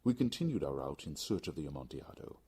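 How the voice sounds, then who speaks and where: Deep, husky male audiobook narrator. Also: big pitch swings, quick pace, darkish tone. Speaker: audiobook reader. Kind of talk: dramatic scripted narration of a gothic story.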